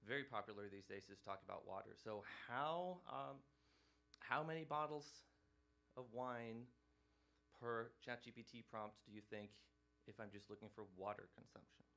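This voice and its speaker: A man's voice: medium pitch, normal speed, creaky, pushed and bright. Man giving a casual lecture. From Norway. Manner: conversational, keeps asking "right?" and questioning the audience. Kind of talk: public lecture to a live audience.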